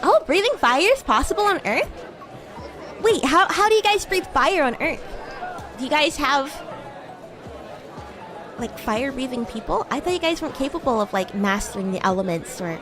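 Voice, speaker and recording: A woman voicing a bratty, playful young demon schoolgirl in chatty livestream roleplay, with a teasing, giggly, halting manner. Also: sits high. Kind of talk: improvised in-character livestream roleplay chat. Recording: music and crowd murmur behind. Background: music and crowd ambience.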